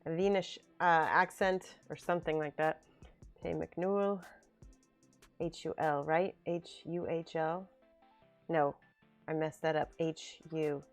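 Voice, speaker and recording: A woman's voice: sits low, fairly even intonation, takes it slowly, pauses often. A woman hosting a casual livestream, chatting and laughing as she reads viewer comments aloud. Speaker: miniature painting streamer. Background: faint background music.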